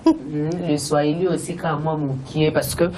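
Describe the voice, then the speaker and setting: Une chanteuse de gospel originaire du Katanga, invitée d'une interview, qui parle de façon hésitante avec beaucoup d'hésitations. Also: female voice, low voice, fairly even intonation, unhurried, a crowd in the background. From Katanga, DR Congo. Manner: hesitant, many fillers, laughs often. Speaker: gospel singer, guest. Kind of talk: casual interview chat, switching languages.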